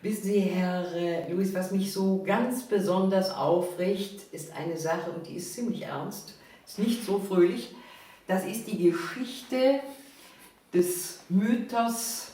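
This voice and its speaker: A woman in her seventies hosting a conversational interview, speaking emphatically and deliberately. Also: medium pitch, dark tone. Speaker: host. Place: room, filmed conversation. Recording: echoey, distant, noisy room.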